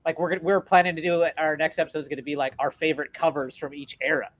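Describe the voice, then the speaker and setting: Enthusiastic male co-host, quick filler-heavy chat. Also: fairly high pitch, flat delivery, a bit bright. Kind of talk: casual interview chat.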